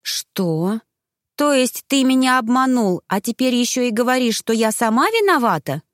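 A woman voicing a playful young wife in an audiobook, coy and theatrical, quick to take offense. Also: mid-range voice, animated, no echo, clean studio sound, voice pushed up, close to an everyday voice. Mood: indignant. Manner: theatrical, coy, sharp when crossed.

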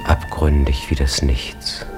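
An actor narrating a radio drama in a brooding, grave manner. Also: male voice, rumbling low, flat delivery, slow. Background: prominent string music.

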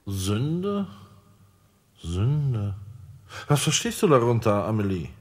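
A man playing a calm, fatherly male heavenly lord who speaks gently and patiently in a radio play. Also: mid-range voice, takes it slowly, breathy edge, full character voice. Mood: questioning. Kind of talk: radio drama acting.

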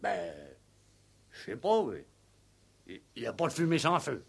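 An actor playing a simple, stubborn village farmhand in a radio play, defensive and blunt, with hesitant, ungrammatical country speech. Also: male voice, medium pitch, big pitch swings, a little slow, gravelly, slightly rough, heavily acted. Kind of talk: 1960s French radio play acting.

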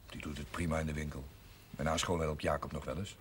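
An actor playing a stubborn, resentful young grocer in a 1970s television drama, blunt and terse. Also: male voice, extremely low pitch, flat delivery, quick pace. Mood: determined. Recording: noisy 1970s television soundtrack.

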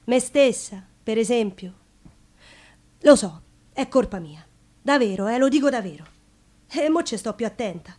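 A woman's voice: on the high side, animated, normal speed, long pauses, a little mellow. An actress playing a wry, defiant Roman woman in a colloquial, ironic theatre monologue, with a Roman accent. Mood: ironic, resigned.

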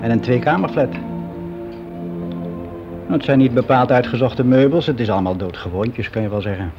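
A man's voice: mid-range voice, normal speed, music in the background, lightly acted. Frank middle-aged man, played by an actor, dull recording. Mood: matter-of-fact. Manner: hesitant, matter-of-fact, self-justifying, as if answering an interviewer. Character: frank, fussy middle-aged man confiding about his private life. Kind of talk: conversational radio play monologue.

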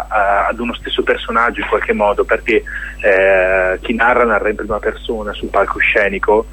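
A man's voice: medium pitch, fairly bright tone, slightly rough, rough recording. A man being interviewed on radio as a theatre director, reflective and hesitant as he searches for words while explaining his work.

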